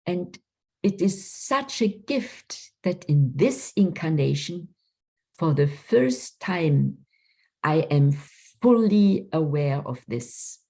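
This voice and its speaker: A woman speaker, answering a question slowly and emphatically in a spiritual talk over a video call. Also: sits low, gravelly, dark tone, husky. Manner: slow, emphatic, philosophical. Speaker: conference speaker.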